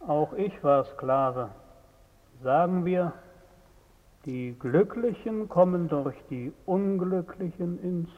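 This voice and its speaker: An actor playing the wistful shade of a former slave, musing and sententious in a theatrical radio drama. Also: male voice, sits high, takes it slowly. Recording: tinny phone-like sound, long reverb.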